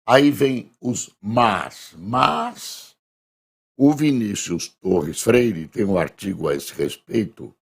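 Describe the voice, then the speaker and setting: A Brazilian man hosts a relaxed newspaper review, his voice hoarse and husky. He speaks low and unhurried, with a rough, gravelly edge. He stretches out the headlines as he reads them. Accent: Brazilian Portuguese. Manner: conversational, reads headlines aloud slowly with emphasis. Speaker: news commentator reviewing front pages. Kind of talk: casual spoken press review.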